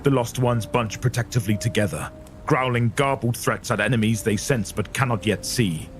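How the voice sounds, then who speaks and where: A man reading dramatic scripted narration for a dark audio story. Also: medium pitch, normal speed. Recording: narration over loud music.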